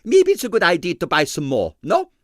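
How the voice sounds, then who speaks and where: A man's voice: high voice, huge pitch swings, fairly quick, no room sound, clean studio sound, voice pushed up. A man voicing a sentimental, money-minded ballet impresario in a storybook, effusive and theatrical, with a thick foreign accent and broken English. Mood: eager, insistent. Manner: theatrical, effusive, French interjections.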